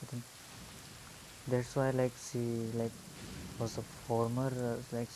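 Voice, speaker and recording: A gamer giving casual, halting, musing gameplay commentary in a murky, muffled voice through an earphone mic. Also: mid-range voice, completely flat delivery, background noise. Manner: halting, musing, many hums. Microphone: phone earphone mic.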